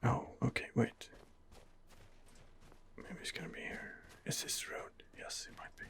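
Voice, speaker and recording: A man hosting an ASMR game playthrough, muttering hesitant commentary in a breathy whisper. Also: a little slow. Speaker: gaming video host.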